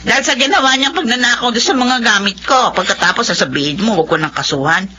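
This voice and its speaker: A scornful, unforgiving complainant in a Filipino radio drama. Her high, forceful voice swings widely as she scolds bluntly, with a Filipino accent. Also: animated, fast, slight background noise. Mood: indignant. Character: indignant, unforgiving woman complainant, scornful theft victim.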